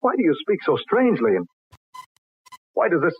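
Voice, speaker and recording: An actor playing a dashing, indignant adventurer in crisp, theatrical old-time radio drama style. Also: male voice, a little high, huge pitch swings, normal speed, heavily acted. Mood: alarmed, indignant. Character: dashing, indignant film-star yachtsman adventurer. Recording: tinny band-limited old radio recording.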